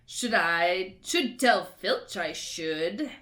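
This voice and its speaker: A woman voicing a mischievous male poltergeist in a storybook reading, with a shrill, sing-song, gloating way of speaking. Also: animated, extremely slow, bright, forceful tone, exaggerated voice. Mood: taunting. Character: mischievous cackling male poltergeist, gleefully spiteful.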